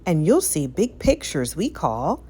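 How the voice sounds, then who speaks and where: A woman reading a rhyming picture book aloud for children in an expressive, sing-song storytelling way. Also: sits low, measured pace, slightly rough. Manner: animated, sing-song, careful storytelling delivery.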